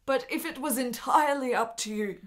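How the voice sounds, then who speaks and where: A woman's voice: sits high, brisk, close to an everyday voice. An actress playing a frail, lovesick, melancholy woman in a period radio drama, speaking in earnest, melodramatic, formal phrases. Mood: pleading.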